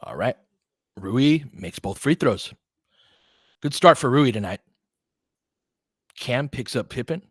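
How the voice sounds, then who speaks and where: A man's voice: medium pitch, lively intonation, slow, pauses often, breathy edge, no echo, clean studio sound. A man doing basketball play-by-play commentary in clipped phrases, with informal asides and casual analysis.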